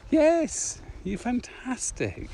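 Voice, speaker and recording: A man's voice: very high-pitched, sing-song, drawn-out words, creaky. A man co-hosting a casual podcast while walking in a field, teasing and dry-humored. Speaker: podcast co-host. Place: open grassy field outdoors. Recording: outdoor noise, birds, wind.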